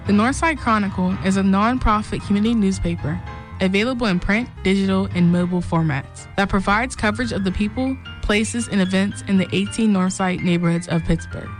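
A woman reads a short scripted announcement at a mid pitch, clear and even. Soft music plays underneath. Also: fairly quick.

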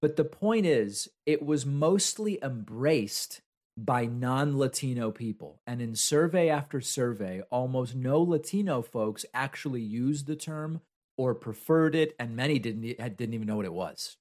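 A man's voice: mid-range voice, lively intonation, a little slow, a little mellow. A man from Argentina, a native Spanish speaker, hosting a solo political commentary show. He talks conversationally and argues with objections he raises himself.

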